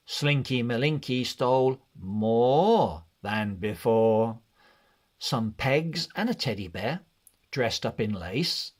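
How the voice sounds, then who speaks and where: Very slow, lively man reading a storybook. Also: mid-range voice. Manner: expressive, deliberate, measured delivery. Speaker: children's story reader. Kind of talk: read-along storybook narration.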